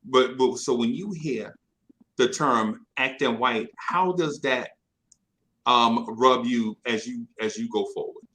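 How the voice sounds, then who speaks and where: Bright-voiced male discussion moderator. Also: mid-range voice, slow, rough recording. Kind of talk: casual group discussion.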